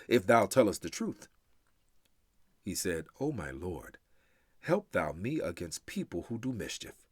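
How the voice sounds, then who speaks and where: A man with a low voice gives a solemn, spirited reading of scripture. His pitch rises and falls widely as he declaims each measured phrase, pausing deliberately between them. The recording is dry and close. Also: husky, no echo. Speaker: reader of scripture.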